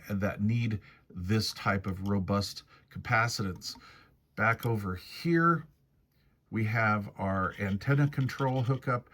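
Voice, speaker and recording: A man's voice: fairly low pitch, a bit bright. A man giving a casual, hands-on product review walkthrough.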